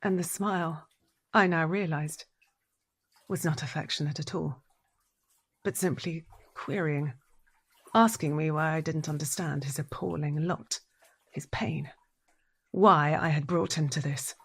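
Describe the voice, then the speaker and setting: An actress playing a haunted, unhinged wife in a dramatic audio drama monologue, deliberate and intense, repeating phrases. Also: female voice, low voice, husky, heavily acted. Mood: hushed, brooding. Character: haunted, unhinged wife narrating a violent confession.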